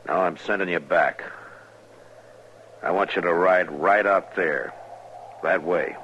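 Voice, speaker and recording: An actor playing a steady, laconic, quietly merciful frontier marshal in a 1950s radio western, terse and commanding. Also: male voice, deep voice, slow pace. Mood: firm, commanding. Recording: dull, noisy old radio recording.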